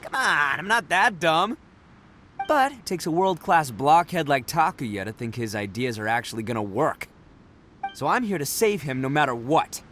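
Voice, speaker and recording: A man's voice: on the high side, animated, moderate pace, noisy background, natural voice. A man voicing a brash, cocky, big-hearted young oni gang boss in a video game, with boastful, slangy delivery. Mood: confident. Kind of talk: animated video game voice acting.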